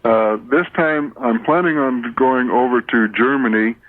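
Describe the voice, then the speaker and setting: A man's voice: mid-range voice, unhurried, slight background noise. An American man calling a radio show, asking his question casually in a polite, plain-spoken way over the telephone. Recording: tinny, narrow telephone line.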